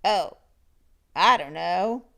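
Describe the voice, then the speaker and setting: A woman's voice: lowish voice, animated, creaky, fairly bright tone, heavily acted. A woman voicing a big, scruffy boy monster who slurps, whines and draws out words while trying to sound menacing. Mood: slurpy, mock-menacing. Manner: slurping, drawn-out, whining.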